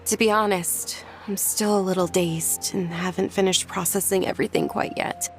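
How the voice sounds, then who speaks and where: A woman voicing a dazed young adult daughter in a video game, speaking softly and hesitantly. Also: fairly low pitch, fairly even intonation, moderate pace, soft background music, close to an everyday voice. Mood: reflective. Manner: soft, hesitant, reflective.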